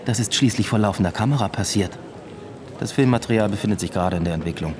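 A man's voice: on the low side, quick pace, background noise, close to an everyday voice. An actor playing a blunt, stubborn male police detective who speaks tersely and presses people hard in a radio play. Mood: confronting.

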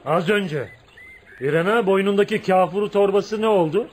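A brooding radio play hero, acted by a man, volatile and jealous. His voice sits fairly high and presses with dramatic intensity. Faint noise runs through the recording. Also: normal speed, natural voice. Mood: suspicious. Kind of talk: Turkish radio theatre acting.

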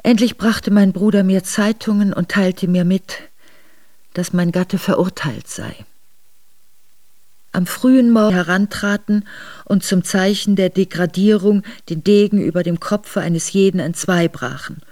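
A woman's voice: medium pitch, measured pace, a little mellow, dry recording, natural voice. An actress in a radio drama playing a steadfast aristocratic wife who recounts her memoirs in composed, formal narration. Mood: composed, sorrowful. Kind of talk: literary first-person narration in a radio drama. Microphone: close dry studio microphone.

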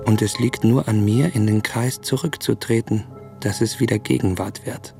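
An actor playing an earnest young gentleman lost in longing, speaking formally and with measure in a literary radio play. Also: fairly low pitch, fairly even intonation, moderate pace, gravelly, faint music behind. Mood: dreamy resolve. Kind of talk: literary radio play acting, inner monologue.

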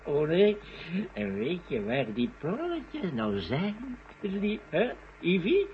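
An actor playing a tipsy, gloating old scientist, lecherous and rambling, in a vintage radio drama. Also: male voice, high-pitched, huge pitch swings, slow, exaggerated voice. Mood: gloating, gleeful. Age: older. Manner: lecherous, gleeful, rambling, chuckling. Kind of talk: 1970s Dutch radio drama acting. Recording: dull, noisy old radio recording.

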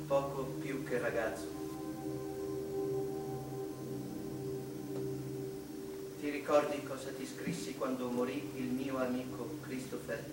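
An actor playing an anguished, defiant mathematician in a theatrical, declamatory stage monologue, with deep emotion. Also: male voice, close to an everyday voice. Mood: hushed grief. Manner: declamatory, emotional, dramatic delivery. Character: anguished male mathematician, defiant, tender and grieving. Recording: very echoey, distant stage recording, faint noise.

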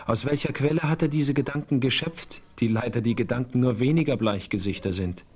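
A noble, solemn chief, played by a man, speaks with calm dignity in a radio play. His voice sits at an ordinary middle pitch and his phrasing is measured and formal. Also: lightly acted. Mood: earnest.

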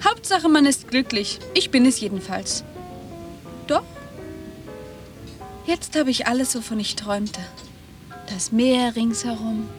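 A woman's voice: on the high side, unhurried, pauses often, over music, lightly acted. An actress playing a dreamy, self-assured woman passenger in a German radio drama. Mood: dreamy, pointed.

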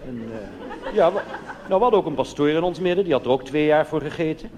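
A man's voice: on the high side, animated, fast, dark tone, muffled recording, a faint crowd. A man with a Netherlands Dutch accent, a cabaret comedian telling a comic monologue live on stage in a conversational way, full of colloquial asides. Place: on stage in a theatre. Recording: noisy live recording in reverberant hall.

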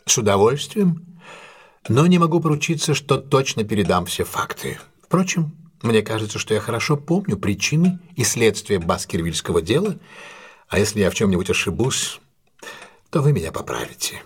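An actor playing a brilliant, assured detective who lays out a case in a deliberate, emphatic, analytical way in an audio drama. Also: male voice, lowish voice, sing-song, breathy edge. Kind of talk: audio drama dialogue, expository monologue.

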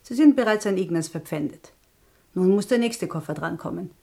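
An actress playing a penniless young hotel guest in a radio play, wistful, soft-spoken and wryly resigned. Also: female voice, fairly low pitch, animated, a little fast.

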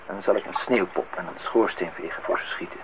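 A man's voice: low-pitched, fast talker, crowd noise, lightly acted. An actor playing a wry, grumbling man acting the husband and father in a Dutch radio play, with a dry, theatrical irony. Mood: wistful, ironic. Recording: tinny, band-limited old radio recording, faint noise.

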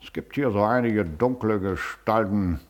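An actor playing a self-important, condescending Prussian district official in a classic radio play, pompous and declamatory. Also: male voice, fairly low pitch, unhurried, natural voice.

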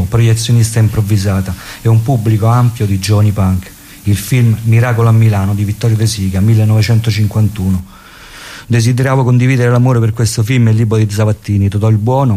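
A man's voice: on the low side, warm tone. A man reading a scripted memoir in a reflective, literary way for radio. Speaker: reader.

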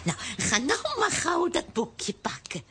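A woman's voice: very high-pitched, huge pitch swings, slow, pushed and bright, full character voice. An actress playing a doting, very old woman who coos at a child in a children's radio play. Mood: cooing, doting. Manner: cooing baby talk to a child. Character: doting, nearsighted, very old garage lady.

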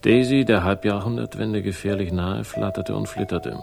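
An actor playing a wry, world-weary private investigator who narrates a crime radio drama in a deadpan, sardonic way. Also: male voice, on the low side, normal speed, creaky, over music. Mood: dry irony.

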